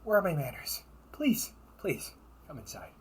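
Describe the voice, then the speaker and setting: A man's voice: on the high side, big pitch swings, a little hiss or hum. A man playing a sly, wealthy older brother in a sci-fi audio roleplay, with theatrical, formal, teasing delivery. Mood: mock-warm, teasing. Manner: theatrical, formal wording with teasing chuckles and drawn-out pauses. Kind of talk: solo audio roleplay addressed to the listener.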